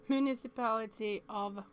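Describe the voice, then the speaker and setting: A woman's voice: fairly high pitch, a little hiss or hum. A woman streamer reading a visual novel aloud, stumbling over words and chatting to viewers between passages.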